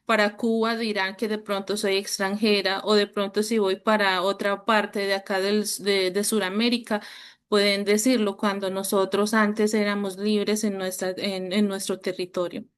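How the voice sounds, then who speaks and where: A woman's voice: mid-range voice, little pitch movement, normal speed, smooth. A woman sharing her experience in an online workshop, speaking hesitantly with many fillers and restarts over a video call. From Colombia. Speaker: workshop participant.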